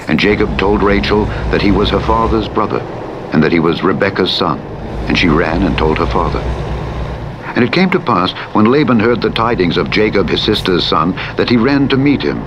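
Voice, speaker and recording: A man narrating the Bible in a solemn, measured and formal declamatory manner. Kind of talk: solemn scripture reading. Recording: played in a game over rumbling truck engine noise. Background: vehicle rumble from a truck simulator game.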